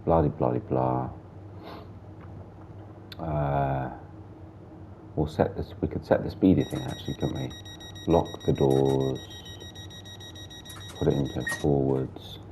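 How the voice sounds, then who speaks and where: A man chatting casually while driving a train in a simulator game, gravelly with a sore throat and blocked nose, muttering through the controls. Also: extremely low pitch, fairly even intonation, slow pace, long pauses, noisy background. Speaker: gameplay streamer. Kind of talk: casual train sim commentary.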